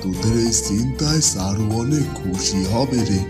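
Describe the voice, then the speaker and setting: Brief: man as a warm-hearted cartoon village father, middle pitch, steady. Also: moderate pace, loud music behind the voice, natural voice. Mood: fond.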